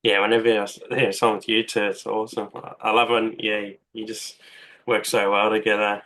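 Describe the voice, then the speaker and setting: A man's voice: fairly low pitch, level tone, fairly quick, fairly bright tone, rough recording. A man hosting a casual interview, eager and full of compliments, sometimes stumbling over his words. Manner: enthusiastic, complimentary, stammers a little. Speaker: interviewer, host.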